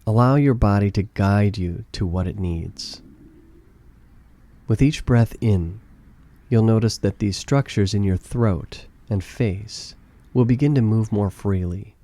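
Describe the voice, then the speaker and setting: A man leads a guided meditation in a low, very mellow voice. His delivery is calm and gentle, giving soothing instructions in a relaxed, warm tone. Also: slow pace.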